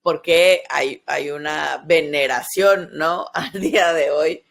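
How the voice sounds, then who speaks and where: A woman's voice: sits low, slow pace, gravelly. A woman in her early forties with a Mexican accent, hosting a podcast and explaining things step by step, often checking in with short tag questions. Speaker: podcast host, sexologist. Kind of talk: conversational explanatory podcast talk.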